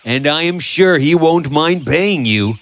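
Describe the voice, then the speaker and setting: A man's voice: fairly high pitch, animated, a little slow, fairly bright tone. A man voicing a concerned village friend in a storybook tale, persuasive and insistent as he gives advice. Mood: friendly, persuasive. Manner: insistent, advising.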